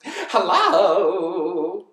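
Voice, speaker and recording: A woman's voice: on the high side, big pitch swings, a little slow, echoey room, voice pushed up. A woman voicing a lazy female bird who whines, wheedles and pleads in an exaggerated way for a children's story. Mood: coaxing.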